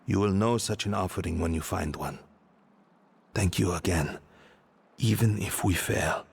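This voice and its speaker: A man playing a grave, stoic, guilt-ridden warrior in a fantasy video game, speaking in a measured, halting way. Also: sits low, gravelly, slightly rough, full character voice. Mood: solemn, resolute. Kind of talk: video game dramatic dialogue.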